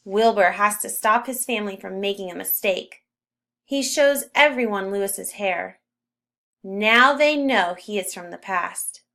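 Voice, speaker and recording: A woman reads a children's storybook aloud in a narrating style. Her pitch sits in the middle and she stresses key words expressively, with a fairly gravelly creak in the voice. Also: a little slow, bright, forceful tone. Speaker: storybook reader.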